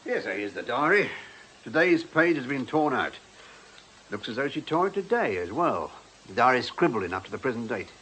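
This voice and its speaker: A man's voice: medium pitch, sing-song, normal speed, bright, forceful tone, slight background noise, low-quality recording. A man playing a deferential, methodical British policeman in a radio detective drama, plain-spoken and matter-of-fact. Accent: British English.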